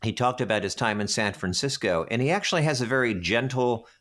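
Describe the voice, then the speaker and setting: A man of medium pitch talks as an actor being interviewed. He is chatty and extroverted, telling his recollections in an animated way. His voice is loud and conversational. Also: fairly quick.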